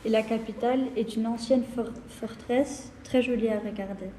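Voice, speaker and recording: An eighteen-year-old woman speaking clearly and at a measured pace. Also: a little high, steady tone. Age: teens. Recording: echoey hall.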